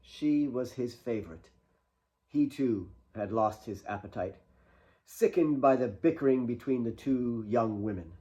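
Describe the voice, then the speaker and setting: A man's voice: mid-range voice, unhurried, warm tone, dull audio. A man narrates a novel he wrote himself. The delivery is scripted and dramatic, in the manner of an audiobook, with a mid-range voice. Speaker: author reading own novel.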